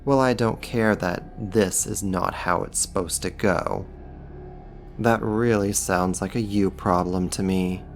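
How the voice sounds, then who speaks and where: Casting: man as powerful demon for audio roleplay, low-pitched, slow delivery, taunting mock courtesy. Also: soft background music. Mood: condescending, taunting. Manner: sarcastic, teasing, drawn-out mock politeness. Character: powerful male demon, sly, mocking, condescending. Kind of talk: ASMR roleplay, slow scripted monologue to the listener.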